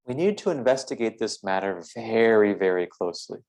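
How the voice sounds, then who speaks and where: A man leading a philosophical guided meditation in a measured, deliberate way, with formal phrasing and frequent pauses. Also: on the low side, animated, a little mellow.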